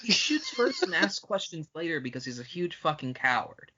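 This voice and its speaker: Bright, forceful man on a lo-fi podcast. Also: a little high, huge pitch swings, moderate pace, a little hiss or hum. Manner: blunt, profane, opinionated. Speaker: podcast co-host. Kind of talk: casual film discussion.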